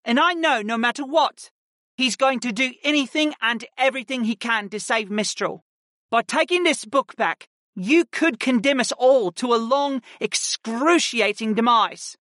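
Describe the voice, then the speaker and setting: A woman's voice: a little high, measured pace, no echo, studio quality, voice pushed up. A narrator voicing a commanding, intimidating female ice mage who lays down the law. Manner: forceful, commanding.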